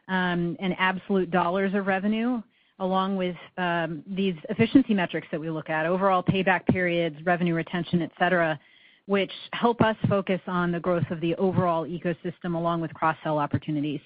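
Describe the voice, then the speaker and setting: A woman speaking as a finance executive on an earnings call, giving measured, businesslike explanations with short hesitations. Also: lowish voice, fairly even intonation, no echo. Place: conference phone call. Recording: slightly dull, dry call audio.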